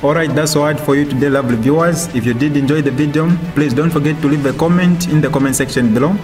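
A man's voice: a little high, fast talker. A young man with a Zambian accent hosting his channel and reading a news report in an upbeat presenter's manner. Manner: upbeat presenter greeting viewers. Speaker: YouTube news host. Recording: faint music behind.

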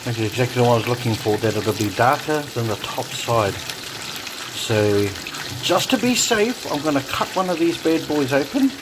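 A man casually narrating his home cooking, relaxed and chatty with frequent ums, filmed on a phone over the stove. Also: mid-range voice, moderate pace. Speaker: home cook vlogger. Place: home kitchen. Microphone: phone camera held over the stove. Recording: noisy home kitchen phone recording. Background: sizzling and bubbling pots.

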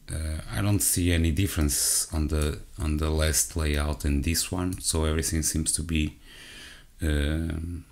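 A man from Portugal with a Portuguese accent, hosting a software walkthrough in English as his second language, hesitant and full of self-corrections, talking straight to his viewers. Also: rumbling low, creaky. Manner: addresses viewers directly. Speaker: tech channel host. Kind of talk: screen-recorded software walkthrough.

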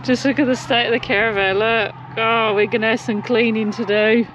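A woman's voice: a little high, steady tone, brisk, fairly bright tone, slight background noise, rough recording. A woman chatting informally to camera as a travel vlogger, recorded on a nearby camera. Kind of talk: casual chat to camera. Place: mostly outdoors on open clifftop.

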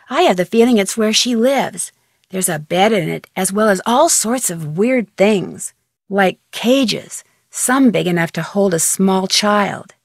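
A woman's voice: mid-range voice, no room sound, clean studio sound. A young woman voicing an inquisitive, plucky amateur detective in a video game, talking conversationally as if on the phone. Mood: concerned, puzzled.

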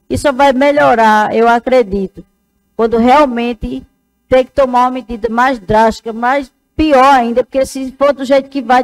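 A woman's voice: fairly high pitch, a little mellow, rough recording. A woman, giving an earnest speech at a council session into a lectern microphone. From Northeast Brazil. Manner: plain earnest speech with fillers, addresses colleagues. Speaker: city councilwoman.

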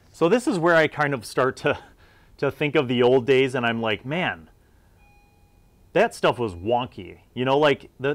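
Middle aged guy doing a laid back review vid, regular pitch, kinda rambling and thinking out loud. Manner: rambling, conversational, thinking aloud. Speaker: video host reviewing old gaming magazines. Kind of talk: casual unscripted review commentary.

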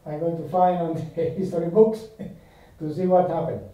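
A man's voice: fairly high pitch, little pitch movement, normal speed, warm tone, heavy reverb, far from the mic, low-quality recording. A man whose first language is Spanish, asking interview questions with careful, non-native phrasing.

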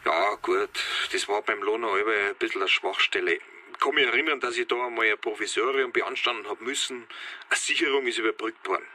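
An actor playing an earnest, defensive farm safety inspector with a Bavarian accent, explaining insistently in a radio drama. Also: male voice, fairly low pitch, brisk, bright, forceful tone. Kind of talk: naturalistic radio drama dialogue.

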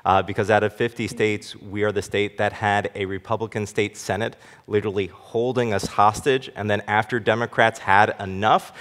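A man's voice: fairly low pitch, steady tone, normal speed, hardly any pauses, a bit bright. A man in his thirties speaking as a panelist, pointed and critical, into a headset mic on stage. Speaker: panelist, city council member. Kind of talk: panel discussion. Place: large hall stage. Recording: reverberant hall.

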